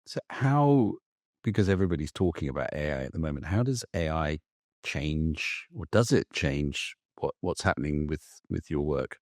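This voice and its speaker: A man's voice: extremely low pitch, expressive, moderate pace, dry recording, studio quality. A male podcast co-host asking a question hesitantly, rephrasing as he goes.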